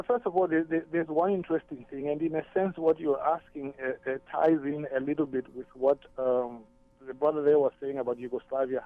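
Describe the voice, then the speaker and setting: A man from Zambia speaks as a radio show guest, calling in over a narrow, tinny phone line. He talks thoughtfully, often repeating words while he gathers his thoughts. His voice sits a little high and the sound is dry. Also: dry recording. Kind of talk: radio talk show discussion.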